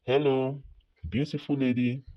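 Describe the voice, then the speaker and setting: A man voicing a smooth-talking young pretender posing as rich, in storybook dialogue with slightly muffled sound. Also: mid-range voice, little pitch movement, a little slow, loud music behind the voice, close to an everyday voice. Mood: smooth, charming.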